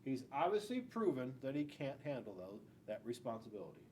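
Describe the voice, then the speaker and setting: A man's voice: medium pitch, sing-song, brisk, dark tone, a little hiss or hum. A middle-aged man, a school police officer, reading a picture book aloud to young children, stressing key words and adding asides. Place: indoors in a school classroom.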